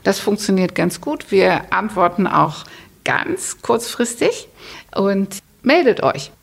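Woman, podcast host, chatty and enthusiastic, gravelly voice, mid pitch. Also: very animated, slow. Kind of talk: casual interview hosting. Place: new podcast studio with some reverb.

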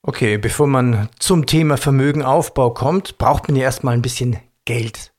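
Guy hosting an interview, normal pitch, chatty and colloquial, recaps then asks stuff. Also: moderate pace, darkish tone. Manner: informal, colloquial. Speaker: interview host. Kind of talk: casual interview, recapping and asking questions.

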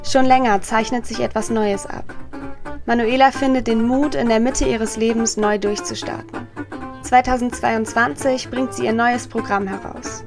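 A woman narrates a documentary in a calm, measured way, her voice sitting a little on the high side. Soft music plays faintly behind her.

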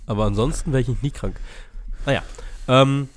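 A young man hosting a podcast with a blocked-up nose, relaxed and teasing in casual chat. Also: mid-range voice, brisk. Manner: relaxed, teasing, says "Alter" often. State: stuffy nose. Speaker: podcast host.

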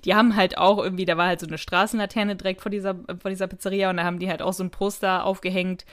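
A woman's voice: medium pitch, flat delivery, very fast, a bit bright. A woman co-hosting a podcast, chatting casually and telling stories in an informal, loosely strung way. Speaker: podcast co-host. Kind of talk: casual chat.

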